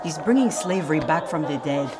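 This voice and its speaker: A woman's voice: low-pitched, lively intonation, fairly quick, over music. A woman playing a young, idealistic, bitter rebel in a game, impassioned and insistent.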